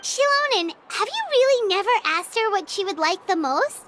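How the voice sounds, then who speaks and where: A woman voicing a small, excitable floating girl companion in a game dub, talking in a squeaky, fretful way over game music. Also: moderate pace, noisy background. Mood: doubtful. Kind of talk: dubbed game dialogue.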